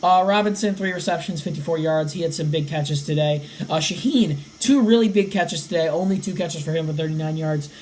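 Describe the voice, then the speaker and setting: Young man with a slightly raspy voice. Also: on the high side, brisk, hardly any pauses, darkish tone, a little hiss or hum. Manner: rattles off stats. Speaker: podcast host. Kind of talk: casual sports podcast.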